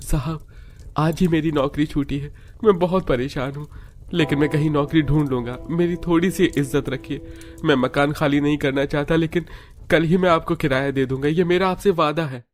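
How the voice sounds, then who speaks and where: A man's voice: a little high, steady tone, normal speed, faint music behind, lightly acted. A man playing a poor, worried family man in a cartoon story, earnest and pleading. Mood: dejected, pleading.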